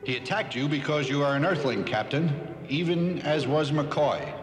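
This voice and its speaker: A man playing a stern, formal alien commander in a cartoon, speaking gravely. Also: on the high side, normal speed, natural voice. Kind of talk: 1970s TV cartoon acting. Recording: echoey reverb, faint cartoon music.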